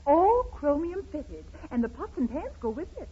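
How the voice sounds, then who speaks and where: A woman's voice: high voice, very animated, moderate pace, mellow, slight background noise. A woman playing an eager, polite toy shop saleslady with coaxing patter in an old radio comedy. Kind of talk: 1930s radio comedy acting. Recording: muffled old 1930s radio recording.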